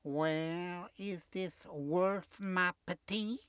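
Man doing a smug, unhurried tomcat husband. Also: high-pitched, a bit bright, clean tone, no echo, clean studio sound, heavily acted. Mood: puzzled, doubting. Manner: superior, patronizing, unhurried. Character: smug, condescending male cat husband, lightly French-flavoured.

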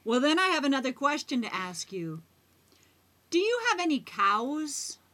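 Brief: patient wise woman, put on by a woman storyteller, high and lively, unhurried. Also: exaggerated voice. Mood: advising. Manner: patient, matter-of-fact instructions. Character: calm old wise woman giving advice.

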